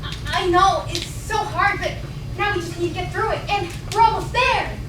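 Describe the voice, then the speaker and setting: An eager little mouse sidekick played by a woman with a very high, childlike voice. It is a staged play reading, picked up from far off in an echoey, noisy theatre. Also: moderate pace. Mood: unsure, willing.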